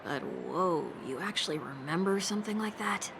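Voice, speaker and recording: Low-pitched, teasing, sarcastic young woman. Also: lively intonation, moderate pace, creaky, background noise. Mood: dry, sarcastic. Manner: dry, sarcastic. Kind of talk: video game voice acting. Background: game soundtrack music.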